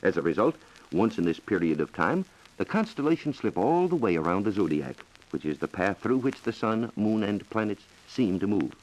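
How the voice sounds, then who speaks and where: Low-voiced, animated 1940s radio science man. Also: normal speed, low-quality recording. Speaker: science reporter answering listener questions. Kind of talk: 1940s radio science program, scripted explanation. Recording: vintage 1940s radio broadcast.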